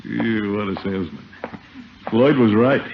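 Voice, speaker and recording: An actor playing a pompous, easily flustered middle-aged uncle in a 1950s radio sitcom, blustering and stammering. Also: male voice, lowish voice, unhurried, gravelly. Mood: self-satisfied. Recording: muffled, noisy 1950 radio recording.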